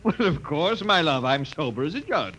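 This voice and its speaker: An actor playing a boozy henpecked husband in old radio comedy style, theatrical and grandly bluffing. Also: male voice, on the high side, sing-song, moderate pace, fairly bright tone. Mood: pompous, ingratiating. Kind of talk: 1940s-50s radio comedy acting. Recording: noisy old radio recording.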